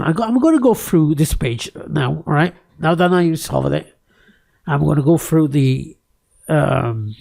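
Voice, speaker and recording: A man's voice: a little high, vocal fry, darkish tone, breathy edge. A man who is not a native English speaker, rambling and ranting in a casual tech screen-recording commentary, close to the microphone, with many fillers and restarts. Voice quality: close-miked. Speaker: tech YouTuber.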